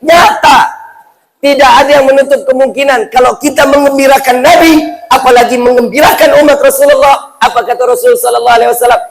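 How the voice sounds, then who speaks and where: High-pitched Indonesian male preacher, playful and colloquial. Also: fairly even intonation, quick pace, gravelly, rough recording. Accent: Jakarta Indonesian. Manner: colloquial, playful, interactive with rhetorical questions. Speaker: Islamic preacher. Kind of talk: humorous sermon to a live audience.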